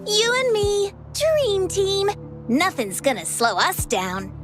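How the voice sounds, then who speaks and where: A woman's voice: extremely high pitch, huge pitch swings, slow pace, exaggerated voice. A woman voicing a playful, boastful young adventurer girl in a video game cutscene, teasing and self-assured. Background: game music.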